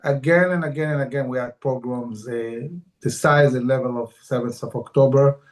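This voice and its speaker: A man's voice: medium pitch, fairly even intonation, normal speed. A man speaking as a panelist, reflective, starting hesitantly with fillers. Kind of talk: panel discussion.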